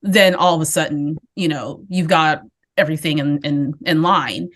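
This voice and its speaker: A woman's voice: low voice, steady tone, moderate pace. A woman hosting a casual group conversation, chatty and reassuring, laughing easily. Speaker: host. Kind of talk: casual video-call conversation.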